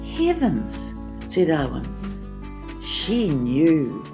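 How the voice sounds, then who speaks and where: Low-voiced woman reading a children's story expressively. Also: wildly swooping pitch, very slow, music in the background. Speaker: audiobook reader. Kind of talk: scripted children's story narration with acted dialogue.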